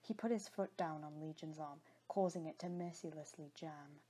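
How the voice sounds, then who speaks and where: Breathy, low-voiced woman narrating dramatic fiction. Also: moderate pace, mellow. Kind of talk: scripted dramatic story narration.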